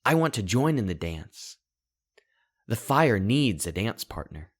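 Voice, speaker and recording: A man reading a scripted first-person short story in a deliberate way, with dramatic pauses between short phrases. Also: fairly low pitch, very animated. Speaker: author reading his own short story.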